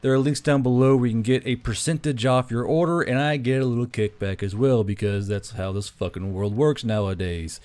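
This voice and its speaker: A man's voice: medium pitch, fairly quick, hardly any pauses, darkish tone. A man giving a casual, rambling channel introduction as host, folksy and freely swearing.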